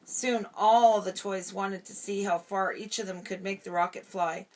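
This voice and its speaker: A woman's voice: mid-range voice, fairly even intonation, a little slow, poor audio. Woman, mid-range voice, storybook read-aloud to a young child with chatty asides. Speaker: parent reading a storybook to a toddler.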